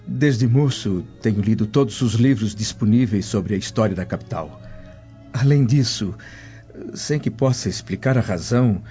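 Humble learned male slave acted by a man, soft dark timbre, formal delivery, lo-fi radio play. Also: medium pitch, natural voice. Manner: formal, reverent, measured. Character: humble, cultured male servant, earnest and dignified. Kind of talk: radio drama acting. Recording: dull lo-fi audio with music.

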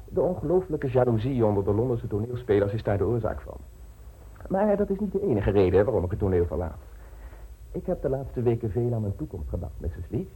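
An actor playing a pompous, broke, out-of-work stage actor in a radio drama, speaking in a theatrical, grandiloquent manner. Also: male voice, mid-range voice, very animated, fairly quick, husky, phone-quality sound.

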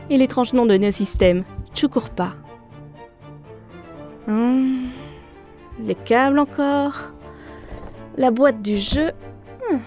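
Lady acting as candid radio staffer woman, highish voice, informal, audio drama, bit of music behind. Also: darkish tone. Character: grumbling, candid female radio show writer. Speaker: radio studio staffer, narrator. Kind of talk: audio drama dialogue and first-person narration.

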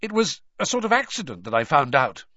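A man's voice: on the high side, sing-song, brisk, sharp tone, no echo, dull audio, voice pushed up. A man voicing an anxious, hot-tempered teenage boy wizard in an audiobook, defensive and urgent.

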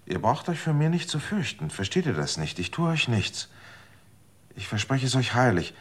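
A man's voice: medium pitch, big pitch swings, normal speed. An actor playing a desperate, manipulative man in a radio drama, coaxing and then threatening. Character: desperate, manipulative man seeking longer life.

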